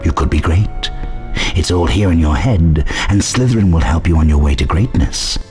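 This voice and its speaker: A man's voice: deep voice, animated, moderate pace, darkish tone, soft background music. A man voicing a sly, pondering magical hat in storybook narration, musing and persuasive.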